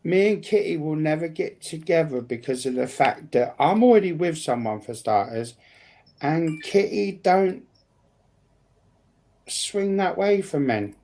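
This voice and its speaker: A British speaker in casual livestream banter, blunt, combative and swearing heavily, with a gravelly voice. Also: medium pitch, animated, unhurried, mellow.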